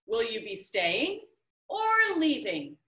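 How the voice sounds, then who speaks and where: A woman's voice: a little high, wildly swooping pitch, takes it slowly, bright, forceful tone, exaggerated voice. A woman voicing an eccentric, cheerful male game-maker who announces things theatrically. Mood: showy. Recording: roomy, somewhat distant mic.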